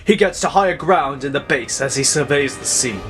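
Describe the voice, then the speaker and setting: A man's voice: fairly high pitch, normal speed. A man narrating a scripted action story in a dramatic storytelling style. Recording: music bed under the voice.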